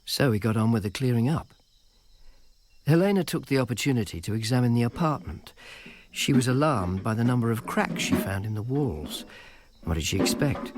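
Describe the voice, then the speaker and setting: An actor playing a dry, self-mocking male informer in ancient Rome, who narrates and speaks the lead role in a radio drama, with a husky voice. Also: medium pitch, expressive, moderate pace, crowd noise, lightly acted. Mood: narrating.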